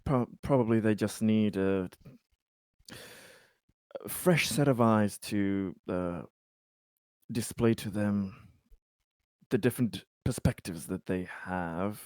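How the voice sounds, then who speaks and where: A man playing a tabletop roleplaying game, improvising in character and out, with halting, stammering delivery. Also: lowish voice, a little mellow, dry recording.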